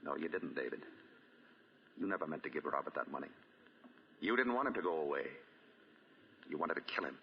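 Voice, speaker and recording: An actor playing a shrewd, composed amateur detective in 1940s radio drama style, with probing, deliberate questioning. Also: male voice, extremely low pitch, expressive, big-room sound, a little hiss or hum. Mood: grave, accusing. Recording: dull, lo-fi 1940 radio broadcast.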